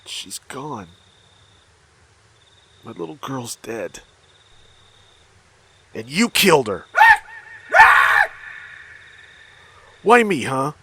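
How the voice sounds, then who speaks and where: An actor playing a weary, bitter old father haunted by a cursed mask in a horror audio drama, with anguished, halting delivery. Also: mid-range voice, sing-song, fairly bright tone, a little hiss or hum, heavily acted. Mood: grieving, furious. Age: older.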